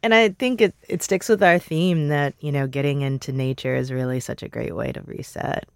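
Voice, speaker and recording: A woman co-hosting a podcast in relaxed, chatty talk, reading the news and listener messages. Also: low voice, fairly quick, gravelly, clean tone, no echo, studio quality. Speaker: podcast co-host. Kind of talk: casual news chat.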